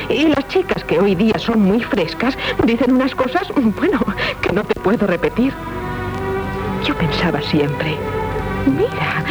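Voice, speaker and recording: A woman's voice: medium pitch, lightly acted, low-quality recording. An actress playing a proud, teasing young medieval noblewoman with a Castilian Spanish accent, poised and theatrical in a 1970s radio drama style. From Spain. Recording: 1970s radio play with music.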